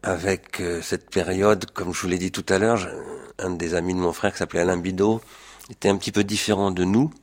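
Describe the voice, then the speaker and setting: A man's voice: sits low, steady tone, a little fast. An older man from France recalling his own youth in an interview, hesitant and often searching for words and correcting himself. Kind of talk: autobiographical recollection in an interview.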